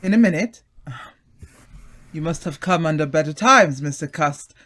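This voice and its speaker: A man's voice: on the high side, expressive, normal speed, pushed and bright. A man playing a jovial, self-assured, probing acquaintance in an old-time radio drama. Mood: probing, solicitous. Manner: hearty, insinuating, self-assured. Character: smooth, jovial, probing male acquaintance with authority.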